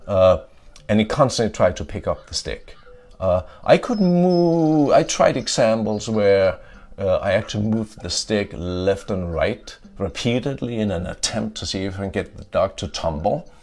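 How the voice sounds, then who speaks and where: Middle-aged Danish man, deep gravelly voice, conference speaker with loose filler-heavy delivery. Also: expressive, normal speed, a little mellow. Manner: adds "yeah?" to check in with listeners; frequent "uh" fillers and restarts. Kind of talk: tech conference talk. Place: conference stage.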